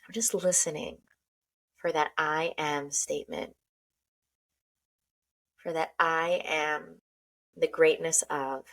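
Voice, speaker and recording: Woman with a lowish voice guiding a slow breathing meditation, soft and unhurried, talks like she's doing it herself. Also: steady tone, creaky. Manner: slow, soft, speaking in first person as she guides. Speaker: meditation guide.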